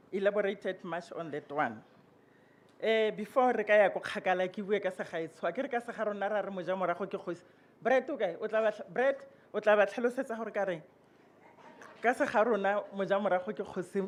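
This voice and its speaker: A man working as program director at a formal ceremony, introducing speakers and switching between Setswana and English. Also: sits high. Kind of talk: ceremony MC announcements.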